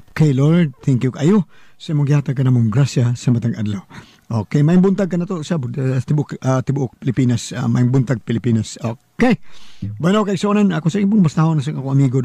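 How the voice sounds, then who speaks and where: A man's voice: mid-range voice, fairly quick, mellow, breathy edge, no echo. A man with a Cebuano accent hosting a radio programme, speaking informally to listeners as he gives greetings and introductions. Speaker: radio drama host and letter reader.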